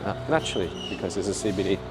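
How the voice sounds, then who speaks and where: A young Australian man hosting a casual travel vlog, talking in a relaxed way with lots of fillers. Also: deep voice, very fast, very noisy. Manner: relaxed, laid-back with frequent fillers. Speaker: vlog host. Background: background music.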